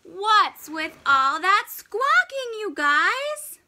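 A whiny little storybook penguin acted by a woman grumbles through endless complaints. The voice is very high and sharp, swinging dramatically in pitch. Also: sing-song, very slow, exaggerated voice. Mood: grumbling. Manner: complaining, exasperated, melodramatic. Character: grumpy, whiny young male penguin full of complaints.

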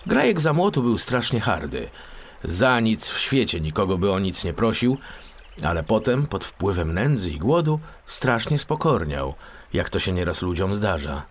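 A man narrating a children's story as an audiobook, in an expressive storytelling style. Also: on the low side, moderate pace.

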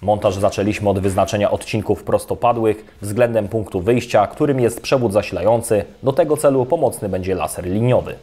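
A young adult man giving a calm, scripted step-by-step voice-over for an electrical installation video. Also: fairly low pitch, a little fast, few pauses. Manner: calm, even, step-by-step instructional delivery. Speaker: electrician presenting a how-to video. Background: soft guitar music.

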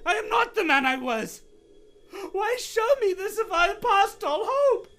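An old miser in a radio play, intensely theatrical. His pitch swings widely and he takes his time over each phrase, leaning into dramatic pauses. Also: fairly bright tone, slight background noise, full character voice. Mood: desperate pleading.

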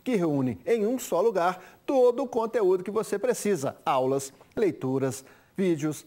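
Middle-aged male TV sports host, high voice, lively conversational delivery, studio sound. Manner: lively, conversational, quick asides. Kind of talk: studio sports chat and live ad read.

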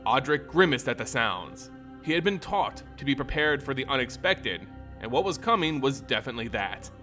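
A man reading a fantasy tale as a dramatic narrator, with theatrical, deliberate phrasing. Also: medium pitch, sing-song, bright, forceful tone, soft background music. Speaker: storyteller, narrator.

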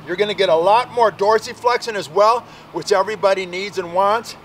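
Energetic man in his forties, high voice, fitness coach outdoors, faint traffic behind. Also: fairly quick. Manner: energetic, emphatic, casual slang. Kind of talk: outdoor workout demonstration. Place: outdoor park playground.

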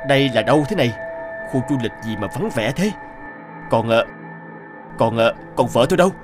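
A man voicing a frustrated, neglectful middle-aged husband in an animated moral tale, with an expressive, theatrical delivery. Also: medium pitch, fairly quick, over music, close to an everyday voice. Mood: bewildered, distressed.

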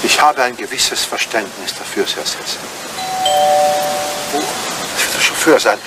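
An older man acting a devoted, dignified butler in a radio play, speaking with formal deference and quiet sorrow. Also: mid-range voice, expressive, unhurried, lightly acted. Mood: politely formal. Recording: noisy old radio play recording.

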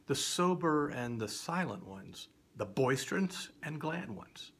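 A middle-aged man reciting a children's poem like a spooky storyteller, swinging between hushed whispers and loud cries. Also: fairly high pitch, very animated, a little slow, slightly rough. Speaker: storyteller reading children's poetry. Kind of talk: dramatic theatrical poetry recitation for children.

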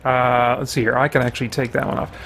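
A man's voice: mid-range voice, little pitch movement, quick pace, a bit bright. A middle-aged man giving casual let's play commentary as a gaming host. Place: indoor room with bookshelves. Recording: faint noise, game ambience behind.

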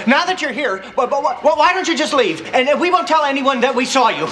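A man's voice: very high voice, animated. An actor playing a nervous, fussy man in a sitcom, panicky and stammering. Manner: panicky, stammering, fast bursts. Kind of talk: sitcom acting. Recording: noisy TV soundtrack.

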